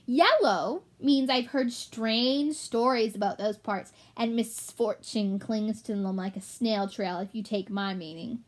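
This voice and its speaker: A fussy little male rat voiced by a woman from a children's storybook, high in pitch and full of self-importance. He talks like a proud mapmaker, haughty and easily affronted. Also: natural voice. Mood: businesslike. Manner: haughty, quick to take offence.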